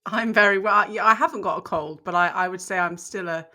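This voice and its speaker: A woman co-hosting a podcast, chatting with her co-host and reading a measured introduction. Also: mid-range voice, sharp tone.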